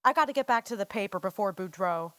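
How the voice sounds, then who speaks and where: A woman playing a brisk, wry newspaper reporter in a radio play. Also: medium pitch, animated, a bit bright, natural voice.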